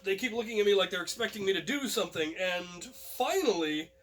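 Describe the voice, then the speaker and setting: A young man hosting a vlog, telling a personal story casually to his viewers in an animated way. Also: high-pitched, a little fast. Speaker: host and storyteller.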